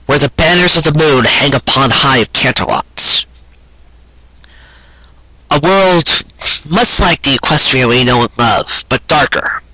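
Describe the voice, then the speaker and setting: Male story reader, earnest and dramatic, bright edgy tone, close dry sound. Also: medium pitch, unhurried, long pauses, no echo, rough recording. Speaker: fan fiction reader and show host. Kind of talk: expressive narrated story reading.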